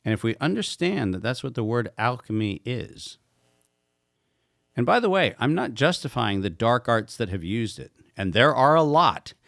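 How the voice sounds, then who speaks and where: A man's voice: mid-range voice, big pitch swings, moderate pace, gravelly, a bit bright, no echo, studio quality. A man speaking as a guest expert in a podcast conversation, talking emphatically and posing rhetorical questions.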